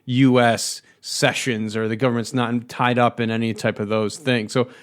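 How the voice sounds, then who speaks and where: A man's voice: medium pitch, level tone, normal speed. An American man hosting a podcast interview in a casual, chatty, discursive way. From United States. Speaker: podcast host.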